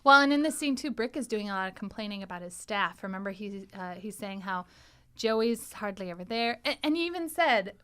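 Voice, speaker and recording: A woman podcast host in casual group conversation, animated and chatty, often echoing agreement. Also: mid-range voice, a little fast, clear, resonant tone.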